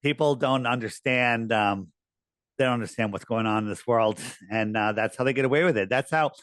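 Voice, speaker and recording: A man speaking as a podcast guest, earnest and emphatic, his voice worn and going hoarse. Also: brisk, a bit bright, no room sound, studio quality. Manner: earnest, emphatic, rising pitch on key points. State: losing his voice. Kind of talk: conversational interview talk.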